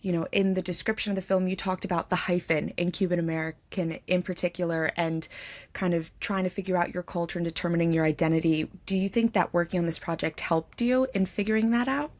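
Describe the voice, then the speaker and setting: Supportive woman interviewer, slightly low voice. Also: fairly even intonation, quick pace, dry recording. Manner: supportive, affirming, articulate. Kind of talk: conversational film festival interview.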